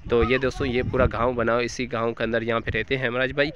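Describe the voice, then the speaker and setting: A man's voice: mid-range voice, level tone, quick pace, muffled recording. A man in his thirties from Pakistan, hosting a casual village vlog, chatting and asking many short questions into a phone held at arm's length outdoors. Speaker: vlogger. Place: open dusty village ground outdoors. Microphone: phone camera held at arm's length. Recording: loud wind and outdoor noise.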